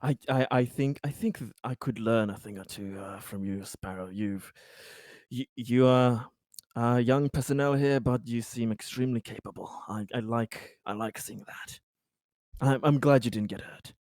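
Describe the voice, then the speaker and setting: A man playing a tabletop roleplaying game, speaking casually and haltingly, often stammering and repeating words. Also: mid-range voice, a little mellow, no room sound, studio quality.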